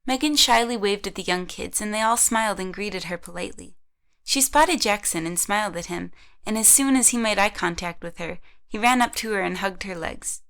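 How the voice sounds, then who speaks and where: A woman's voice: mid-range voice, a little fast, gravelly, a bit bright. Woman narrating an audio drama story.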